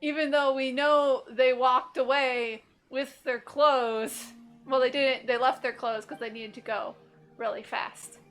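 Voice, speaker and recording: A woman's voice: high-pitched, fairly even intonation, a little slow, faint music behind. A woman hosting a casual gaming playthrough, jokily paraphrasing what the characters say. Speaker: gaming video host and player.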